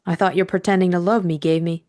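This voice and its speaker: A woman's voice: lowish voice, fairly even intonation, fast, a little mellow, close to an everyday voice. A woman voicing a jealous, nervous mistress in an audiobook detective story, pleading and complaining insistently. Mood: bitter, complaining.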